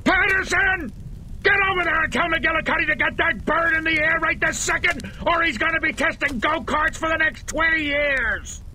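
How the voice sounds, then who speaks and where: An actor playing a brash, bossy military officer in a game cutscene, a loud and folksy showman. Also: very high voice, moderate pace, slight background noise, exaggerated voice. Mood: barking, angry. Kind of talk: video game cutscene acting.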